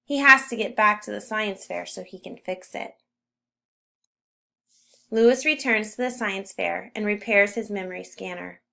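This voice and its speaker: A woman narrating a children's story as a read-aloud, with expressive emphasis on key words. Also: medium pitch, measured pace, gravelly. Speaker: storybook reader.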